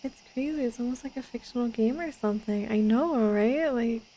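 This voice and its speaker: A woman's voice: on the high side, normal speed, mellow, a little hiss or hum, rough recording. A young woman streaming a game, chatting and giggling as she rambles about what she sees. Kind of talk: casual gameplay commentary.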